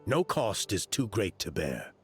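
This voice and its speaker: Older male game elder, proud, formal, very expressive delivery, light music behind. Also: low voice, measured pace. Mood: troubled, insistent. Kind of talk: video game character acting.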